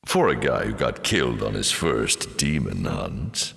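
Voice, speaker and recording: A man voicing a suave, taunting fallen angel demon in a video game, with a deep gravelly voice and a sly, sardonic drawl. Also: very animated, measured pace, fairly bright tone, full character voice. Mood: playful, teasing. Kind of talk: video game character voice lines. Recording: long cavernous reverb.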